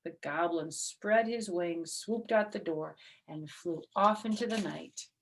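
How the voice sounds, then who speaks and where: Slow, dramatic woman storyteller. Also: on the low side, a little hiss or hum, poor audio. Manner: dramatic, deliberate. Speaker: storyteller reading a picture book aloud. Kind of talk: expressive children's story narration.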